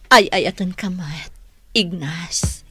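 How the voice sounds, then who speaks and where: A woman playing a bashful, lovesick sweetheart with a breathy voice in a radio drama. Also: lowish voice, takes it slowly, music in the background. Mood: tender.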